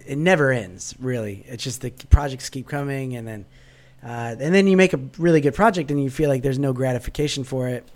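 A man talks over a remote call, hoarse and raspy from a cold. He rambles in a relaxed way and slips in dry self-mocking jokes. His pitch sits right in the middle for a man. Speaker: guest, vlogger and musician. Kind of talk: casual interview chat.

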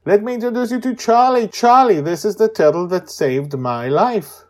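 A man voicing an excited, grateful nine-year-old girl adventurer in a storytelling, gushing and exclaiming eagerly. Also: high voice, normal speed, pitched above the performer's own voice. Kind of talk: acted storytelling.

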